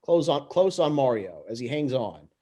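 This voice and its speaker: A man reading stage directions aloud in an amateur script read-through, halting and tripping over words. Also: mid-range voice, sing-song, warm tone.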